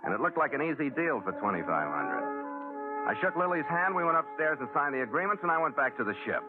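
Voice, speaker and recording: A man's voice: fairly high pitch, big pitch swings, fast talker. An American man acting a tough ship captain in a 1940s radio adventure, terse and wry, with hardboiled delivery. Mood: grim narrating. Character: tough, dry ship captain narrating his own adventure. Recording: phone-like 1940s radio recording, loud music.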